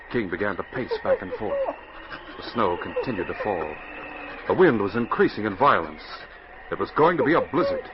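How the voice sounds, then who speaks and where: A man narrating an adventure serial in dramatic, scripted 1940s radio style, on a muffled, noisy old recording. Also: lowish voice.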